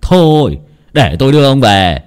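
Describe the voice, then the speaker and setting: A man voicing a gruff, teasing middle-aged village clan head in a told story, scolding in an earthy, sly way. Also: medium pitch, big pitch swings, normal speed, voice pushed up, natural voice. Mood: brusque teasing.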